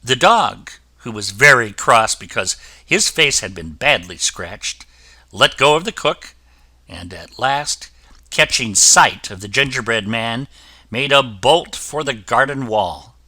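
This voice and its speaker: Bright, lively male storyteller. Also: mid-range voice, slow pace, no echo. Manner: expressive, lively storybook delivery. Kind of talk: reading a fairy tale aloud.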